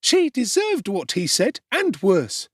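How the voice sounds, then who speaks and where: A man voicing a shrill, indignant middle-aged woman, the undertaker's wife, who exclaims loudly. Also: huge pitch swings, slow, slightly rough, no room sound, studio quality, full character voice.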